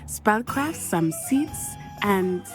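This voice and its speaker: A woman's voice: mid-range voice, expressive, extremely slow. A woman playing a friendly, eager teenage student witch in a video game, polite and earnest. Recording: music behind the voice.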